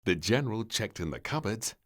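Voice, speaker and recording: A man narrating a children's storybook in an expressive, theatrical and measured way. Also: lowish voice.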